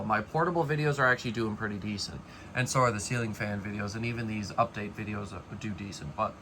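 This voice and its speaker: A man's voice: fairly low pitch, bright, forceful tone, slight background noise. A young man casually giving a rambling update to viewers of his vlog, with many fillers and restarts. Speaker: fan collector vlogger.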